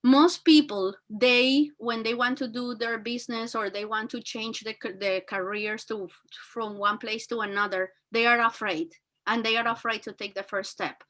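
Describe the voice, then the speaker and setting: A woman with a very high, bright and forceful voice talks earnestly, her full of fillers and restarts. She speaks as a teacher and guest, giving motivational advice. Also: fairly even intonation, normal speed. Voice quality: very high-pitched voice. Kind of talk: motivational talk.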